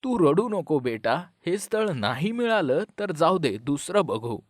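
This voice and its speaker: A man voicing a gentle, dutiful son and father in an animated moral story. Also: a little high, a little slow, no echo, studio quality. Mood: calm, consoling.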